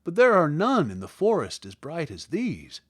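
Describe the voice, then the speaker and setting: Man voicing a gentle, animated cowardly lion. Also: a little high, normal speed, breathy edge, heavily acted. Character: gentle, tender-hearted cowardly male lion.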